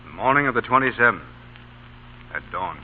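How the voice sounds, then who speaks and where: An actor playing a dutiful Union army officer in an old radio drama. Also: male voice, medium pitch, lively intonation, fairly quick, natural voice. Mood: sober, sympathetic. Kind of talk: 1930s-40s radio drama acting. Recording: tinny, faintly noisy old radio recording.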